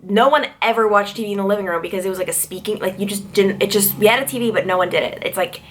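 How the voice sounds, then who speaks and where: A woman in her twenties from Long Island, New York, answering viewer questions in a casual vlog. She talks in a chatty way, full of "like", and her pitch jumps high when she gets excited. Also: very fast, a little hiss or hum, poor audio. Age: 20s. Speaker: TV news reporter and vlogger.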